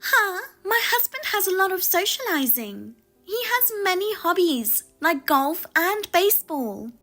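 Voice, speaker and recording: A woman putting on a shrill, needling sister-in-law. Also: big pitch swings, a little slow, bright, forceful tone, soft background music, heavily acted. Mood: indignant. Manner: high-pitched, indignant and needling. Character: sharp-tongued married woman, a demanding sister-in-law.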